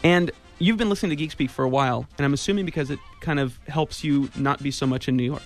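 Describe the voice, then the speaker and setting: A man's voice: on the high side, fast talker, faint music behind. A man from California hosting a public radio show, earnestly rambling through a pledge drive in the studio. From California, United States. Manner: earnest, rambling, repeats phone number. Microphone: studio microphone.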